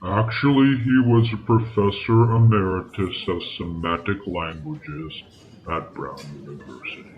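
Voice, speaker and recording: A man playing a learned, stern polar bear psychiatrist, speaking in a measured, formal, grave way in old-time radio style. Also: low voice. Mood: solemn. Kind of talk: old-time radio drama acting, diary narration. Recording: tinny old-radio sound with music. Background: music.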